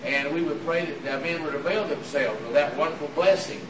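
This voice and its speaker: Hoarse preacher in an echoey hall. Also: male voice, a little high. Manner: earnest, halting delivery with many "uh" fillers. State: hoarse, raspy from throat trouble. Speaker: visiting preacher. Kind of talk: sermon. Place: church auditorium. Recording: echoey hall from far off with room noise.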